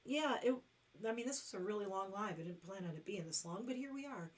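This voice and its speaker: A middle-aged woman hosting a long casual livestream, hoarse and losing her voice, rambling with lots of fillers. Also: mid-range voice, expressive, a little fast. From Detroit. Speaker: livestream host.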